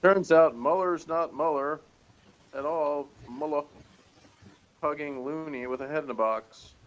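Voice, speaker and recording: A man's voice: a little high, unhurried, low-quality recording. A man chatting casually and unscripted as he reviews a show, in a hesitant, rambling, mildly sardonic way. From outside Britain. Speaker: co-reviewer of a TV series.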